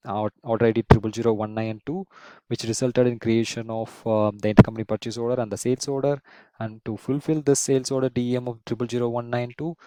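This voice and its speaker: Mellow-voiced man explaining software. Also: mid-range voice, dry recording. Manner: explanatory, repeats and rephrases often. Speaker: software instructor. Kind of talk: unscripted software tutorial walkthrough.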